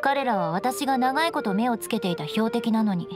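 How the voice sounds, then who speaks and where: A woman's voice: fairly high pitch, animated, moderate pace, close to an everyday voice. A woman voicing a proud, aloof young noblewoman knight in an anime game, with composed, elegant, haughty phrasing. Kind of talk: Japanese anime game voice acting. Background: orchestral game music with strings.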